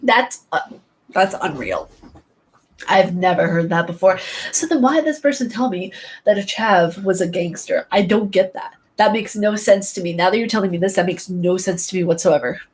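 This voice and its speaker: A young woman chatting casually on her own channel. She laughs often and keeps exclaiming in surprise, her voice sitting at an ordinary middle pitch. She repeats herself for emphasis as she reacts. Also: moderate pace. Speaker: channel host. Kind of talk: casual chat.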